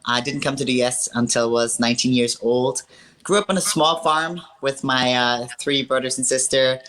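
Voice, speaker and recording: A woman in her thirties from Austria, a strongwoman athlete telling her story with earnest upbeat warmth in a casual call interview. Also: extremely low pitch, steady tone, normal speed.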